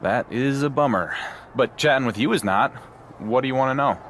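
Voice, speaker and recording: An actor playing a cocky, wry underdog pro wrestler in a sports game, with dry, confident banter. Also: male voice, medium pitch, fairly quick, a bit bright, a little hiss or hum, lightly acted. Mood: dry, friendly. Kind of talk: cinematic sports game dialogue.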